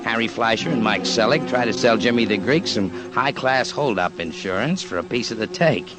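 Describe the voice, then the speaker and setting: A man's voice: mid-range voice, lively intonation, normal speed, music in the background, natural voice, low-quality recording. Man acting slangy, tough gangland insider. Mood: knowing. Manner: slangy, ungrammatical, knowing. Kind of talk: old-time radio crime documentary acting.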